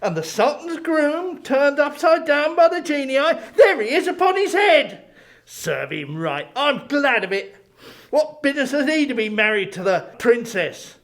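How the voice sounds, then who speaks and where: An old miser turned childlike and tender in a dramatic storybook reading. The voice is high and very animated, rising and falling theatrically with open emotion. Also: male voice, a little slow, full character voice. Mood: ecstatic wonder. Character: old miser Scrooge, softening into childlike, tearful sentiment.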